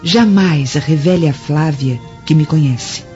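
Mellow-voiced scheming Roman noblewoman. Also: deep voice, a little slow. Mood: scheming, commanding. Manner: conspiratorial, imperious. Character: jealous, vengeful Roman noblewoman, scheming and commanding. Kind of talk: radio drama acting. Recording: dull lo-fi with faint music.

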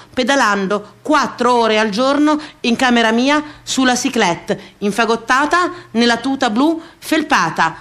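An actress playing a wry, self-mocking woman eternally on a diet, declaiming in a comic theatrical radio monologue with dramatic pauses. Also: fairly high pitch, fairly bright tone. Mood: self-mocking, rueful. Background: music.

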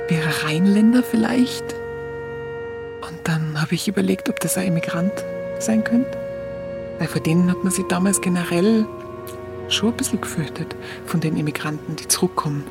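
An actress playing a Munich woman with a Bavarian accent, candidly recalling her wartime youth in a colloquial, halting way for a radio play. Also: lowish voice. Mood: candid, confiding. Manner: colloquial, confiding, halting recollection. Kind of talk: first-person radio play narration.

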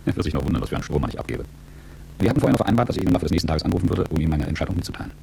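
A man's voice: rumbling low, animated, very fast, vocal fry, mellow, slight background noise, full character voice. A man playing a cool, calculating, world-weary business executive who tells his story reflectively and articulately in a radio drama. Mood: reflective, sober. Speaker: narrator and lead character. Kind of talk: radio drama first-person narration.

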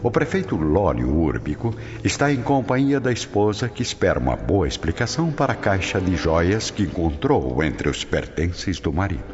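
A man with a very deep voice narrates a radio drama solemnly and theatrically. He speaks with lively intonation in a long reverb, over music. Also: moderate pace, hardly any pauses. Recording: dull, reverberant, music underneath.

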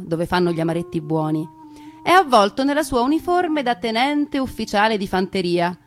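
A woman narrating a scripted stage story in a theatrical, deliberate way with conversational asides. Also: fairly low pitch. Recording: music behind a radio theatre performance.

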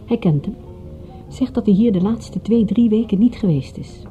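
An actress playing a detective's down-to-earth female companion, speaking in a dry, colloquial, gently teasing radio-drama manner. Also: medium pitch, moderate pace, faint music behind, natural voice. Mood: dryly teasing. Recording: dull, muffled old radio-play recording.

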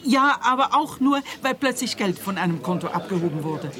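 A woman's voice: mid-range voice, lively intonation, a little fast, a crowd in the background, natural voice. An actress playing a prim, anxious older mother in a radio play, speaking formally and fretfully. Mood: worried, insistent.

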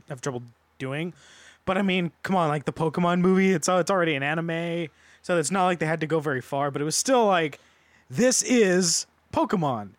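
Male podcast panelist, high voice with lively swings, dry close sound, informal group chat. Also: no echo.

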